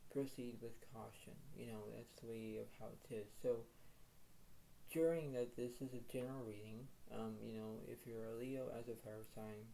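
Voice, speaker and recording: A man giving a casual oracle card reading in a rambling, repetitive way, full of "you know" fillers. He says his voice is low today. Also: steady tone, moderate pace, gravelly, mellow, slight background noise, rough recording.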